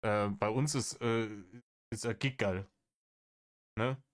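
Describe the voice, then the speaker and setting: A man's voice: fairly low pitch, fairly even intonation, slow pace. Sarcastic man commenting on clips. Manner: exasperated, sarcastic, swears freely. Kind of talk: satirical reaction commentary.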